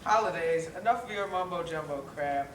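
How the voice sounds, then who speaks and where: Echoey distant stage recording, man as mean bragging shark villain, theatrical, raised pitch, children's musical. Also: fairly quick, lightly acted. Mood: menacing, boastful. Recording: echoey, noisy hall recorded from afar.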